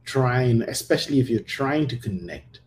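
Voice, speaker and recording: A man hosting a casual podcast conversation, emphatic and animated, often checking in with "right?". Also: medium pitch, normal speed, a little hiss or hum. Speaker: podcast host.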